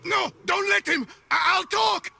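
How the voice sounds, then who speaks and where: Cowardly bandit in a fantasy game, really high harsh raspy voice, rough and agitated. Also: male voice, unhurried, bright, forceful tone, slight background noise, full character voice. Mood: panicked pleading. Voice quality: rough, strained. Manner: crude, agitated. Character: captured male bandit, defiant then cowardly, pleading. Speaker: voice actor in a game. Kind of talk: video game cutscene acting.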